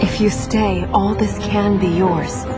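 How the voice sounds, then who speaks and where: An actress playing a determined, earnest young heroine in a video game. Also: female voice, medium pitch, steady tone, slow, over loud music. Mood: soft, earnest. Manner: earnest, resolute. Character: determined, fiery young priestess-princess heroine.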